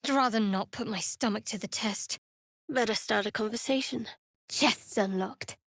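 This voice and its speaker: Guarded dark cleric girl from a fantasy game, slightly high voice, slow n dry wit, close dry sound. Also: creaky, no echo. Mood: dry, wry. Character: guarded, sardonic, devout young woman cleric of a dark goddess. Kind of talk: scripted fantasy video game acting.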